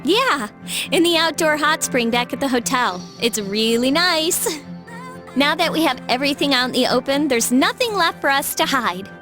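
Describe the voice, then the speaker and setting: High-voiced earnest teenage girl. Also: expressive, moderate pace. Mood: playful. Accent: American. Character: earnest, resolute teenage schoolgirl fighter. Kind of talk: anime game voice acting. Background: music.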